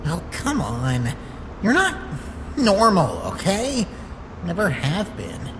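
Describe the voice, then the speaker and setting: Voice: mid-range voice, wildly swooping pitch, slow pace, in a large hall, noisy background. An actor voicing a moody, curious young male talking flower in a fan comic dub, blunt yet hesitant. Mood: prickly, demanding.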